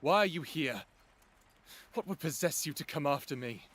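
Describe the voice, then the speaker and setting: A man's voice: a little high, expressive, normal speed, fairly bright tone. A man playing a wounded, guilt-ridden narrator in an audio drama, speaking emotionally and confessionally. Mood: frantic, pleading. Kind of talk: audio drama acting with first-person narration.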